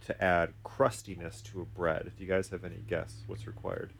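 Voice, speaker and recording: A man co-hosting a casual talk as a therapist, informal and halting as he thinks aloud. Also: low-pitched, level tone, creaky, husky. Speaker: podcast host, therapist. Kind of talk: casual chat.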